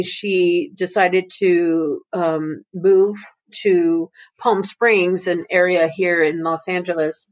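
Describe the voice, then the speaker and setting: A woman's voice: lowish voice, highs cut off. A woman, whose was Italian, telling family stories as an interview guest, laughing often and restarting her sentences. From Italy. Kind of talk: casual storytelling.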